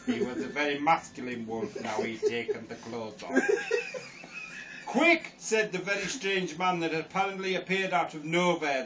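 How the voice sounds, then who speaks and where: A giggly man rambles through a silly bedtime story in a high, lively voice, taking his time. He sounds far from the mic on dull audio with a faint hiss behind. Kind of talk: improvised comic storytelling. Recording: distant lo-fi home recording with hiss.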